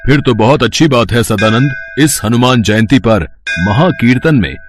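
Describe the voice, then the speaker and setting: A man's voice: medium pitch, expressive, moderate pace, lightly acted. A man voicing a bossy middle-aged village chief who gives orders. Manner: bossy, decisive.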